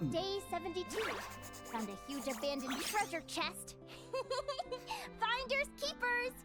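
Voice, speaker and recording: A bubbly young adventurer girl in a video game, her voice sitting very high and swooping up and down with eager exclamations. She giggles and gushes about her dreams, with music playing behind her. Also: big pitch swings, takes it slowly, full character voice. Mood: cheerful. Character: cheery young woman adventurer dreaming of a ship.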